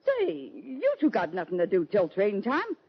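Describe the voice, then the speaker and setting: An actress playing a brash, stubborn, folksy Western businesswoman in an old radio western, with a husky voice and blunt slangy talk. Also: mid-range voice, huge pitch swings, normal speed, exaggerated voice. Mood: excited, hurried. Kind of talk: 1940s-50s radio western acting. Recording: dull lo-fi old radio recording.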